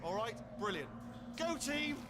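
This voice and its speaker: A man's voice: extremely high pitch, big pitch swings, a little slow, bright, forceful tone, full character voice. A man from the West Country voicing a nervous, chatty male robot sphere in a video game, rambling awkwardly with a West Country accent. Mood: awkwardly cheerful. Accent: West Country English. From West Country England.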